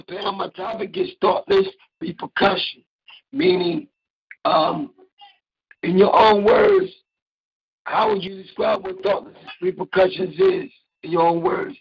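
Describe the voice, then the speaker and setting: A hoarse, raspy-voiced man calling in to a radio talk show, preaching with animated, swooping delivery. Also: high voice. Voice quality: raspy, gravelly. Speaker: caller and fellow radio host. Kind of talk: call-in radio chat. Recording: dull lo-fi call-in line.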